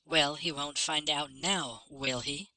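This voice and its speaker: A man's voice: fairly high pitch, slow, bright, forceful tone, dry recording, voice pushed up, full character voice. A man voicing a bossy, scornful young male werewolf with a taunting, boastful manner in a fantasy audiobook. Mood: smug, defensive.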